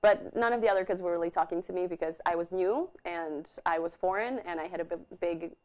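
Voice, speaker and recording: Woman from Poland, studio interview guest, laughs often, lively storytelling. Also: fairly low pitch, quick pace. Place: television studio.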